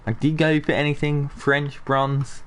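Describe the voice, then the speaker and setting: A man's voice: fairly high pitch, flat delivery, normal speed, clear, resonant tone. A young man with a slightly sick, nasal voice, chatting casually over gameplay as a channel host and talking to viewers. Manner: chatty, talks to viewers and chat.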